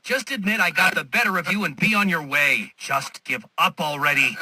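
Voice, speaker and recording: A sharp, smug genius villain. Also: male voice, fairly high pitch, normal speed, husky, dry recording, heavily acted. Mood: sneering, dismissive. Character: smug, condescending male genius villain, taunting and superior. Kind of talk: video game villain voice acting.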